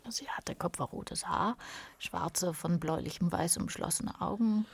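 A woman performing as a knowing storyteller in a literary radio play, theatrical and savouring, with a breathy voice that often drops toward a whisper. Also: on the low side, a little slow, heavily acted. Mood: confiding. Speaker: radio drama narrator.

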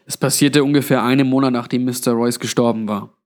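Male narrator as old undead man, weary, blunt, resigned, medium pitch, storybook. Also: fairly even intonation, quick pace, a little mellow, close to an everyday voice. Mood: grave recollection.

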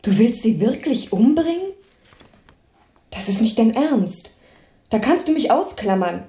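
A woman's voice: on the high side, big pitch swings, unhurried, dark tone, echoey room. An actress playing an alarmed, protesting woman who resists a plot in a German radio drama. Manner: protesting, shocked questioning.

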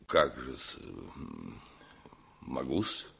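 Radio play role: a blunt, proud lieutenant, very deep, forceful, husky, soldierly. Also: male voice, big pitch swings, drawn-out words, heavily acted. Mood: formal, stiff. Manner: soldierly, plain-spoken, emphatic. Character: blunt, proud, hearty male army lieutenant.

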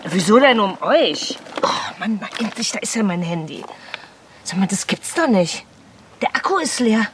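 A woman's voice: medium pitch, big pitch swings, normal speed, close to an everyday voice. An actress playing an anxious, sarcastic mother in a naturalistic radio drama, biting and insistent. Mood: agitated, urgent. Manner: biting, insistent, repeating herself. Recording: faint background ambience noise.

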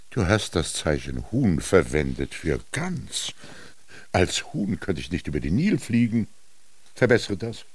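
An actor playing an old Egyptian master scribe in a radio drama, solemn and pompous, declaiming with drawn-out words. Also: male voice, sits low, breathy edge, dry recording, exaggerated voice. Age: older. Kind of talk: German radio drama monologue. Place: studio.

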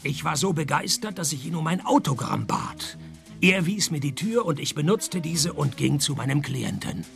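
An actor playing a world-weary, wisecracking private detective in a radio play, dry and deadpan, with a husky, gravelly voice. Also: male voice, a little high, normal speed, faint music behind. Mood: dry, sardonic. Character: sardonic, world-weary male private detective, middle-aged, broke. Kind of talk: first-person radio play narration and dialogue.

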